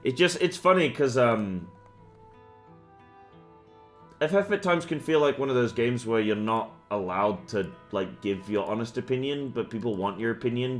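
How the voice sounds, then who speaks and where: A young man chatting casually with viewers on a game stream, rambling with plenty of fillers and getting fired up as he rants. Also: fairly low pitch. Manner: rambling, many fillers, gets worked up when ranting. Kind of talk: casual live-stream chat. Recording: faint music behind.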